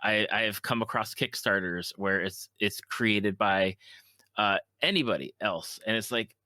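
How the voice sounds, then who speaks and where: A man hosting a casual interview podcast, speaking earnestly and haltingly, often repeating his words. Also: fairly low pitch, pushed and bright, no echo.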